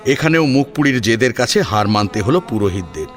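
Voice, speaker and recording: A man narrating a scripted dramatic audio story in an expressive, emphatic manner. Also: mid-range voice, fairly quick, soft background music.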